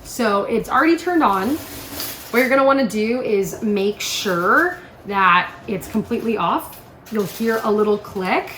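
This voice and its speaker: In a roomy, echoing space with faint noise behind her, a woman demonstrates a product. She speaks casually and informally at a middle pitch, walking the listener through each step like a chatty instructor. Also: animated, unhurried, fairly bright tone.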